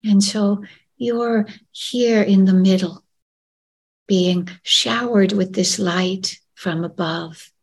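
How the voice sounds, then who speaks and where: A woman guides a meditation very slowly and gently. Her voice is soft, breathy and husky, with a creaky fry at the edges. She often lets phrases fade toward a soothing whisper. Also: mid-range voice, fairly even intonation.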